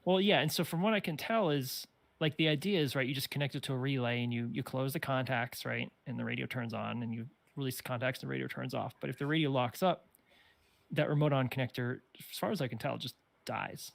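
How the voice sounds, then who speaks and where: A man's voice: mid-range voice, quick pace, vocal fry, dry recording. A man explaining technical problems casually and a bit tentatively, as someone who builds stations.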